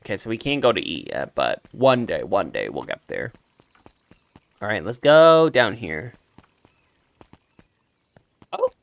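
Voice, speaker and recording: Man, lively swinging pitch, creaky edges, dry close recording, playful gaming commentary. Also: unhurried, pauses often, no echo. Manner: playful, animated, reads dialogue aloud in character. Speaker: let's play streamer voicing characters and reacting. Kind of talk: casual game commentary.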